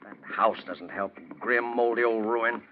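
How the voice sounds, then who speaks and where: An actor playing a gloomy, irritable and fearful country gentleman, in the manner of 1940s radio drama. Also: on the low side, fairly even intonation, a little slow, faint music behind, close to an everyday voice. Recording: dull, noisy old radio recording.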